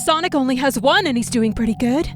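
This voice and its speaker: A woman's voice: sits high, lively intonation, fast, music in the background. A woman voicing an eager, practical cartoon sidekick in a fan audio drama.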